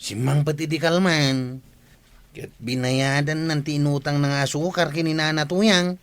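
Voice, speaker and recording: A man's voice: fairly high pitch, steady tone, unhurried, clear, resonant tone, dry recording. A man with an Ilocano accent playing a needling village gossip in a radio comedy drama. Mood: teasing.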